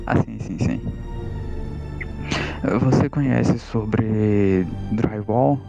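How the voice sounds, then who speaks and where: A man playing a tabletop game who talks in character in a drawn-out, deadpan way. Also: lowish voice, gravelly, lo-fi sound, over music. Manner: drawn-out, deadpan, odd questions. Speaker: player.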